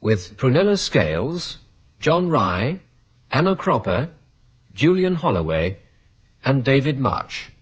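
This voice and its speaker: Slow, husky male announcer. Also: mid-range voice, very animated, lo-fi sound. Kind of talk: radio drama cast announcement.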